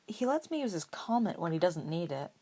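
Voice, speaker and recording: A woman's voice: fairly low pitch, big pitch swings, brisk, mellow. A woman voicing a casual, slightly prickly and modest eleven-year-old boy in a story reading. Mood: guarded. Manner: grumbling, guarded, plain-spoken.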